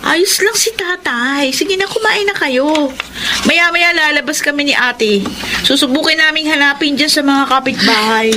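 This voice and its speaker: A woman's voice: sits high, normal speed, natural voice. A woman playing a caring, easygoing young daughter in a radio drama. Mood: caring, reassuring. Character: caring, easygoing daughter looking after her parents. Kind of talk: Tagalog radio drama acting. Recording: noisy radio drama recording.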